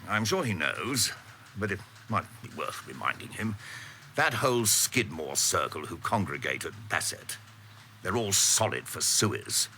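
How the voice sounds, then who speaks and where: An actor playing a dry, disdainful senior civil servant in a radio drama, formal, with pompous irony. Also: male voice, sits low, sing-song, a little slow, sharp tone, slight background noise, exaggerated voice.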